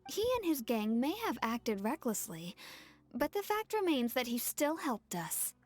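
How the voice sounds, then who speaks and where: An actress playing a gentle, fair-minded mother in a video game, speaking in a soft, reassuring way. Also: on the high side, very animated, a little slow, soft background music.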